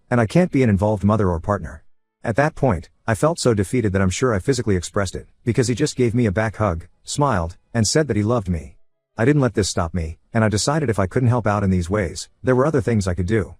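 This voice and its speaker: Fast-reading man with a low voice. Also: a little mellow. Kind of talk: scripted narration of an online first-person story. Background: faint background music.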